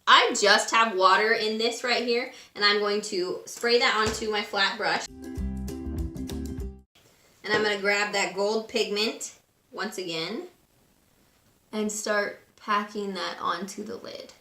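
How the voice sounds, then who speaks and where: A woman with a raspy voice today, casually talking through a makeup tutorial at home. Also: mid-range voice, slow pace, long pauses, roomy sound, faint music behind. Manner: chatty, relaxed and instructive, with frequent fillers. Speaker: beauty vlogger. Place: room at home.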